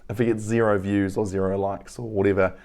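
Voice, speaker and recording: Hoarse man in his 30s, crackly and low, mellow tone, earnest and reflective vlogger. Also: little pitch movement, fairly quick. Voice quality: crackly voice, frequent throat clearing. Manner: earnest, reflective, rambling with fillers. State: hoarse, lost voice. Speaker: business owner vlogger. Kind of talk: casual weekly vlog update. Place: at home.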